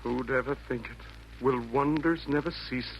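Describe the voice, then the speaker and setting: An actor in a 1940s radio play voicing a repentant Roman emperor, earnest, hesitant and theatrical, speaking in rhymed verse. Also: male voice, medium pitch, fairly even intonation, moderate pace, vocal fry, close to an everyday voice. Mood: humble wonder. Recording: noisy vintage 1940s radio broadcast.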